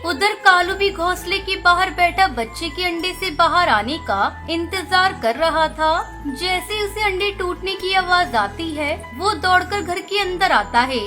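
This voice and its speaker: A woman's voice: very high-pitched, expressive, measured pace, few pauses, sharp tone. A woman narrating a children's cartoon story in plain storytelling style. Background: background music.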